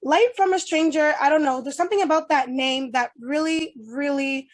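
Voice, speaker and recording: A woman hosting an online interview, talking to her guests over a video call. Her voice is high and she sounds enthusiastic and effusive, piling on praise. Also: normal speed. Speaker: host.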